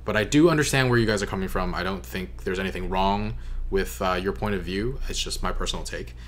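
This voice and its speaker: A young man with a low voice hosts a casual reaction video. He speaks in an earnest, hesitant way into a desk microphone, with faint noise behind him. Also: fast talker, fairly bright tone. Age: young adult. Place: small bedroom, indoors.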